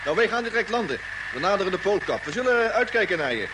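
Chatty high-voiced young-hearted spaceship radioman. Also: big pitch swings, fast. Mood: cheerful banter. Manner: chatty, eager, colloquial. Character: chatty, excitable, curious radio operator on spaceship. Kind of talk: radio drama acting. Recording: noisy old radio recording.

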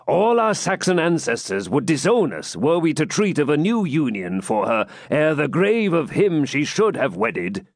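A man voicing a stern, proud old Saxon lord and father in a forceful, declamatory storybook manner with archaic speech. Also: a little high, no echo, clean studio sound, voice pushed up.

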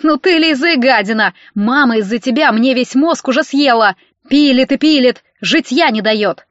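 A shrill, high-voiced ex-husband in an audiobook, acted out by a woman, drunk and hysterical. He whines and rants accusingly, full of spite, on a dry, slightly muffled recording. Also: lively intonation, unhurried, a bit bright, no echo. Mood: furious, spiteful. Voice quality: shrill, squealing. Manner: ranting, accusing in outbursts.

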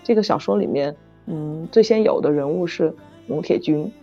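Halting low-voiced woman, dull lo-fi recording. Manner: halting, repeats phrases as searching for words. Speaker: novel author. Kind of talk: casual chat about writing. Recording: dull lo-fi audio, music underneath. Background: background music.

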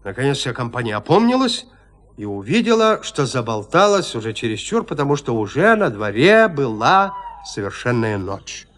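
A man narrating classic prose for an audio drama in a measured, theatrical storytelling manner. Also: medium pitch, big pitch swings, a bit bright.

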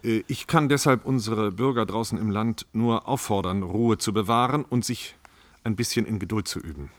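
A man's voice: lowish voice, dry recording, natural voice. Formal, placating male official, dry sound , acted. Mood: reassuring, evasive. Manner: formal, bureaucratic, measured. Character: placating, self-assured male government official. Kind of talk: radio play studio discussion.

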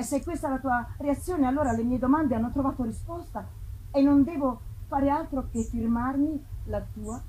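Woman playing a tender, pleading young letter-writer, mellow. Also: a little high, close to an everyday voice, low-quality recording. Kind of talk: gothic novel dramatic reading. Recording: clear background ambience noise.

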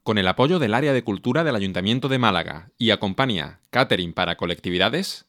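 A man's voice: fairly low pitch, animated, a little fast, a bit bright. A man reading scripted announcer narration for a radio drama.

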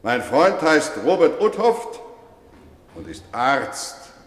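Ein Schauspieler spielt einen feierlichen, bewegten Lehrer mittleren Alters, der in einem Hörspiel gemessen zu seinen Schülern spricht. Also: male voice, mid-range voice, wildly swooping pitch. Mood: solemn, moved. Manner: deliberate, measured oratory. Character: male schoolteacher, solemn, deeply moved, addressing pupils. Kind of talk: radio play speech to an assembly. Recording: echoey hall with long reverb.

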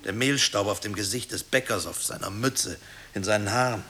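An actor playing an anguished, haunted husband in intense radio-drama dialogue. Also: male voice, fairly low pitch, normal speed, bright, forceful tone. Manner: agitated, intense. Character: anguished husband obsessed with an executed comrade. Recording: hissy old radio recording.

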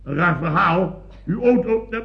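An actor playing an excitable, blustering building boss who stammers and garbles words in a radio comedy. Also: male voice, high-pitched, normal speed, vocal fry. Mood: flustered, polite. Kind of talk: Dutch radio comedy acting, around 1970. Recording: dull old radio recording.

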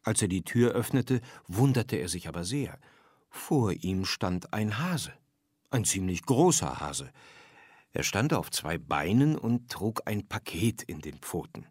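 A man narrating a scripted children's story, reading aloud expressively and with clear phrasing. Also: deep voice, big pitch swings, measured pace, darkish tone, dry recording, clean studio sound.

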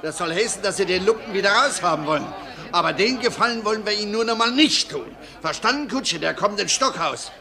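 High, creaky, blustering police superintendent. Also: male voice, lively intonation, brisk, a crowd in the background. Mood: officious, impatient. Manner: stammering repeats, barked commands. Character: blustering, pompous male estate police superintendent. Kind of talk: theatrical German radio play acting.

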